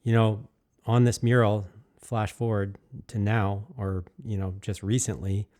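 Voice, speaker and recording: Man, casual voice-over, rambling and candid, smooth clear tone, fillers and restarts. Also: fairly low pitch, flat delivery, unhurried, darkish tone. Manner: candid and enthusiastic. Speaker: muralist narrating his own documentary.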